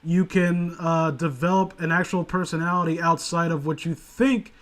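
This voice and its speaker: Male co-host for comedic commentary, higher register, casual, rambling, sarcastic and crude. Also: moderate pace, fairly bright tone.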